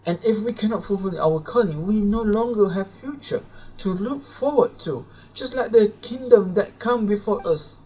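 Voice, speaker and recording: Guy doing a worried little royal servant creature in a game, higher voice, non-native english, lo-fi mic. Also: normal speed, dark tone, breathy edge. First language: not English. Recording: dull lo-fi mic with music behind.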